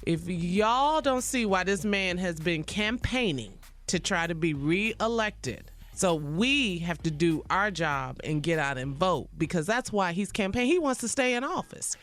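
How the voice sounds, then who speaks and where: A woman's voice: on the low side, animated, a little slow, hardly any pauses, a bit bright. An American woman co-hosting a radio talk show, chatting and giving her opinions.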